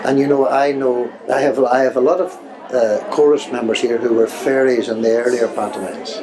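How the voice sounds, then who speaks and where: An earnest man speaks reflectively in an interview about his work as a director. His pitch sits in the middle and he doubles back on his phrases. Clear crowd chatter runs behind him. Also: fairly even intonation, fairly quick, low-quality recording. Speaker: pantomime director.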